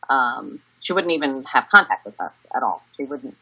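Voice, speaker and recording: An American woman in her early fifties giving candid, halting interview answers. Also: rumbling low, big pitch swings, bright, forceful tone, slightly rough. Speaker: interviewee, family member. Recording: dull, lo-fi sound.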